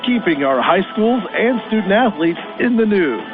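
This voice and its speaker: A man's voice: high-pitched, sing-song, normal speed. A man reading an upbeat radio ad, peppy and promotional. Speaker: radio ad announcer. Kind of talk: upbeat ad read. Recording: phone-like radio ad over music.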